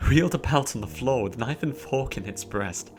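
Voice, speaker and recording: A man narrating a story in a dramatic, expressive audiobook style, drawing out words theatrically. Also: mid-range voice, moderate pace, slight background noise. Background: music behind the voice.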